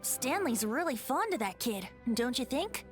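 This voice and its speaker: A woman's voice: sits high, big pitch swings, a little slow, soft background music. A woman voicing a playful, boyish bard spirit, with a light, teasing, lilting way of talking.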